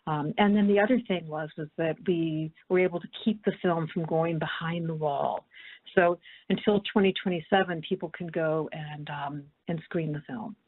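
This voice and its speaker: A woman filmmaker speaking earnestly and haltingly, unscripted, on a panel call. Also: sits low, steady tone. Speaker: documentary filmmaker. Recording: muffled narrow-band conference call line.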